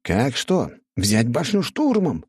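A man playing an excitable, obsessive artificer in an audiobook, with a strained, raspy and agitated delivery. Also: mid-range voice, slow, dry recording, studio quality, voice pushed up, full character voice. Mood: eager, excited. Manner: agitated, emphatic. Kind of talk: audiobook character acting.

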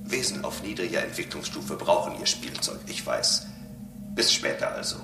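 An actor in a radio drama playing a calm, condescending robot who preaches solemnly and pedantically about serving a higher master. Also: male voice, normal speed, creaky. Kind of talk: German radio drama acting. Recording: roomy echo, music bed behind.

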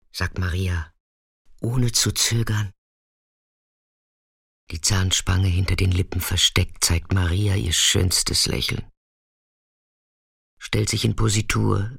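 A man performing scripted literary narration in a deliberate, dramatic way, sometimes dropping to a hushed near-whisper. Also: low voice, steady tone, creaky, breathy edge, no room sound, clean studio sound.

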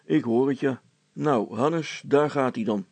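A man's voice: mid-range voice, unhurried, darkish tone, no echo. A man voicing a practical, cautious middle-aged father and handyman in a storybook, talking in a hearty, colloquial, plain-spoken way. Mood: hearty, matter-of-fact.

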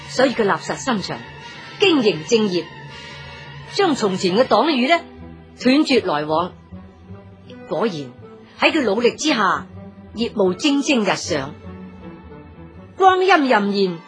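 Female storyteller, Cantonese, highish and very lively pitch, colloquial and dramatic, faint music underneath. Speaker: storyteller narrator. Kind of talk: lively scripted story narration.

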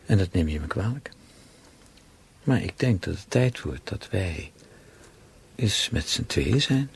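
Casting: needling, amorous husband for radio drama, low and very husky, halting, teasing delivery. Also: male voice, expressive, heavily acted. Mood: reasoning, reproachful. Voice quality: husky, gravelly.

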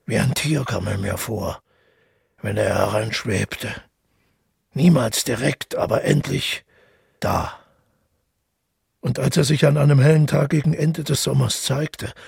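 An actor playing a haunted, brooding man who narrates a confession in a radio drama, measured and with deliberate pauses. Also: medium pitch, darkish tone, no room sound, clean studio sound, full character voice. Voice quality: gravelly, husky. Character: tall, haunted man calmly confessing to a killing. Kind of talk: literary radio drama monologue.

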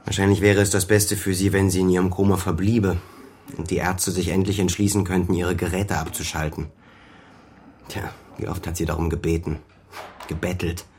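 An actor playing a weary, defensive son and former officer in a naturalistic radio crime drama, speaking in a bitter, guarded way. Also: male voice, low voice, flat delivery, moderate pace, darkish tone, slight background noise, close to an everyday voice. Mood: bitter, resigned. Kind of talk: naturalistic German radio play dialogue.

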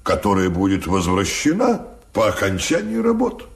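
An actor in a Soviet radio drama playing a sly, unctuous government minister who speaks in grandiloquent, insinuating phrases. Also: male voice, sits low, wildly swooping pitch, moderate pace, gravelly, full character voice. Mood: insinuating, calculating.

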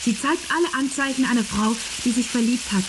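A woman's voice: on the high side, a little fast, background noise, natural voice. A young woman playing a cool, flippant student in a radio play, talking with nonchalance. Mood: nonchalant. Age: young adult. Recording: loud sizzling frying pan behind. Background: food frying.

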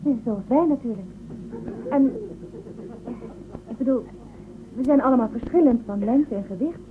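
A woman plays a young spaceship-born woman in a radio play, earnest and quick to protest. Her voice is high, and the recording is thin and phone-like with noise behind it. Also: close to an everyday voice. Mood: defiant, protesting. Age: 20s. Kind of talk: Dutch radio drama acting. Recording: tinny phone-like band, loud background noise.

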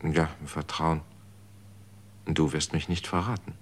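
A deep-voiced doubter. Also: male voice, steady tone, unhurried. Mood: confiding. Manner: probing, skeptical questioning. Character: doubting man questioning a world of fixed death dates. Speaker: radio drama actor. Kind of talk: German radio play acting.

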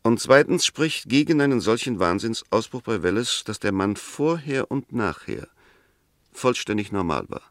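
Sceptical defence barrister, lowish voice, dry recording, eloquent, formal, measured, 1960s German radio drama. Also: male voice, big pitch swings, fairly bright tone, no echo. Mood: persuasive. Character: male defence lawyer, probing, eloquent, sceptical. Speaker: actor in a radio play. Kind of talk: 1960s German radio drama acting, courtroom-style argument.

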